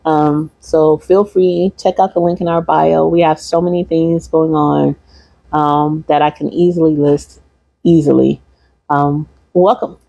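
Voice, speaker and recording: A woman's voice: low-pitched, steady tone, unhurried, gravelly, dark tone, no echo, lo-fi sound. A woman from Michigan, a holistic practitioner, wrapping up her livestream class in a rambling, repeating way and talking to viewers. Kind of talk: casual livestream class wrap-up.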